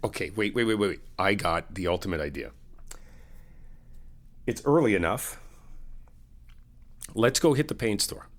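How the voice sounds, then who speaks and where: A fairly low-voiced man talks to the listener like a close friend on moving day. His pitch swings up and down a lot as he jokes and laughs. The talk is relaxed, teasing and upbeat. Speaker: ASMR roleplay creator playing a best friend. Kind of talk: casual friendly roleplay chat to the listener.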